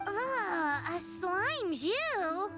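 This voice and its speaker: A woman voicing a tiny, cute, fretful childlike fairy companion in an anime game, squeaky and full of exclamations. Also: big pitch swings, drawn-out words, music in the background, exaggerated voice. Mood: doubtful. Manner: squeaky, exclaiming, speaks of self in third person.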